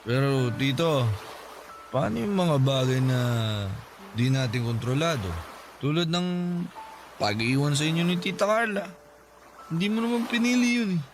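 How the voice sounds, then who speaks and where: A man playing a respectful, concerned young nephew, speaking casually and gently in a Filipino radio drama. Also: a little high, expressive, moderate pace, pauses often, background noise. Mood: curious, sympathetic. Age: young adult. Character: respectful young nephew, concerned drinking companion of his uncle.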